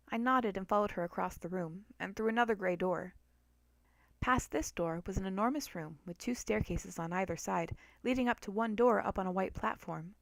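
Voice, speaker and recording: A woman's voice: mid-range voice, no room sound. Dry-sounding woman reading a dramatic story. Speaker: narrator of a fan story.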